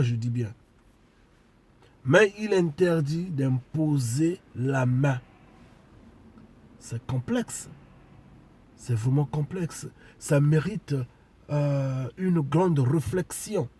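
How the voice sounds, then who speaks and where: A man with a cold preaches slowly and deliberately, his voice husky and mellow. He stresses his points and often repeats key phrases. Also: on the high side. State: congested with a cold. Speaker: spiritual preacher. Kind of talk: video sermon to followers.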